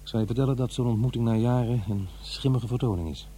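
A man's voice: medium pitch, quick pace, dark tone, a little hiss or hum, close to an everyday voice. An actor playing an awkward, defensive divorced father in middle age in a radio play, with a hesitant delivery. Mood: bitter, reflective. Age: middle-aged. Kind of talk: radio play acting, naturalistic dialogue and narration.

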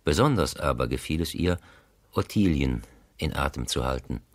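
An actor narrating a literary radio play as a solemn, reflective storyteller with a measured, elegiac delivery. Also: male voice, rumbling low, expressive, slight background noise. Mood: narrating briskly. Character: solemn, reflective male narrator of a classic novel.

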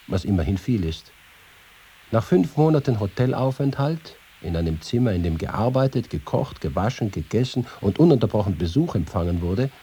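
Aging reporter-writer: very husky, animated intonation, letter reading for radio feature. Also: male voice, medium pitch, heavily acted. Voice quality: very husky, raspy. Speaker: actor reading quoted letters.

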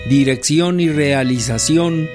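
A man reading scripted radio credits in a formal, deliberate way as the announcer. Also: a little high. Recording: string and guitar music underneath.

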